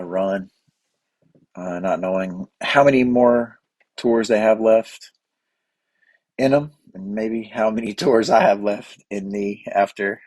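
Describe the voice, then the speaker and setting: A middle-aged man, slightly hoarse and raspy, hosting a rambling solo podcast with lots of fillers and restarts. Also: fairly low pitch, a little mellow, no echo. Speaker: podcast host. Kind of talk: casual solo podcast monologue.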